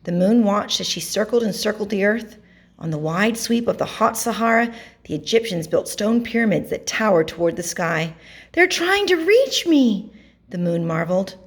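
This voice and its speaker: A woman reading a picture book aloud to children, narrating expressively with dramatic pauses and emphasis. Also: mid-range voice, breathy edge. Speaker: storybook reader.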